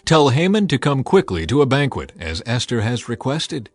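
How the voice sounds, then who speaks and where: A man's voice: medium pitch, sing-song, normal speed, no echo. A man playing a generous, commanding king with a regal, emphatic manner in a dramatized scripture reading.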